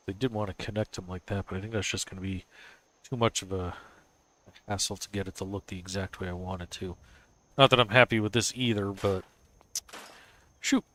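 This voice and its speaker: A somewhat low-voiced man, stuffy and sniffly from a cold. He talks to himself in a loose, casual way, muttering and thinking aloud as he builds. It is relaxed live-stream commentary. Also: fairly quick.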